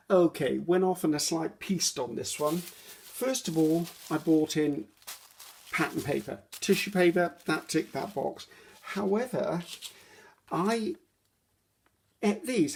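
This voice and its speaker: A woman's voice: deep voice, darkish tone, breathy edge. A woman hosting a casual craft video at her table at home, rambling and correcting herself with frequent ums. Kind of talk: casual chatty craft walkthrough.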